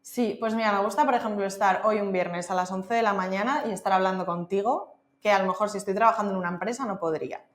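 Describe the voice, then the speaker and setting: A woman's voice: mid-range voice, fast, hardly any pauses, reverberant. A woman with a Spanish accent from Spain, a podcast guest talking candidly and casually, with lots of fillers. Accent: Spain, Castilian Spanish. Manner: candid, chatty, many fillers like "o sea" and "en plan". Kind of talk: casual interview conversation.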